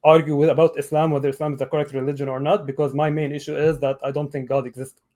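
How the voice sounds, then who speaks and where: A man of thirty-one from Lebanon, speaking conversationally as a guest in a debate call, often pausing mid-sentence. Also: on the high side, little pitch movement, a little mellow. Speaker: guest caller, atheist debater.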